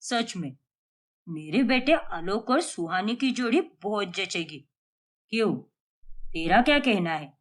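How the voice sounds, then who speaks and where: A woman voicing a middle-aged man, the father's cheerful friend, in an animated storybook, talking in a hearty, insistent way. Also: mid-range voice, a little slow.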